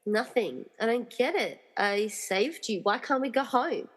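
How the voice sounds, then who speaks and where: A woman's voice: a little high, expressive, measured pace. Woman playing an exasperated heroine, big echo. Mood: frustrated. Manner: sarcastic, grumbling. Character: reluctant, exasperated heroine rescuing friends trapped in movies. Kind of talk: amateur comedy radio play acting. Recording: reverberant hall-like echo.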